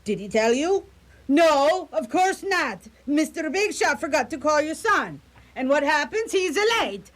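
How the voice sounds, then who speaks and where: A woman's voice: high voice, sing-song. A woman playing a bossy older Italian mother with an Italian accent, scolding in a radio comedy. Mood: exasperated, bossy. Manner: scolding, exasperated, rapid questions. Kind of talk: live radio comedy drama acting.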